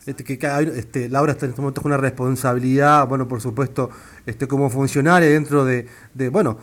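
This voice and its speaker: A man's voice: medium pitch, steady tone, a little fast. A man from Argentina, hosting a radio interview and talking with many fillers and restarts. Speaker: radio host.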